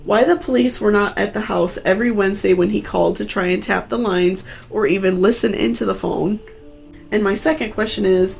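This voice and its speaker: A woman tells a true crime story as its host, moving between scripted narration and personal asides. Her voice sits at a medium pitch with a clearly creaky edge. She sounds earnest and cautionary, over a soft bed of music.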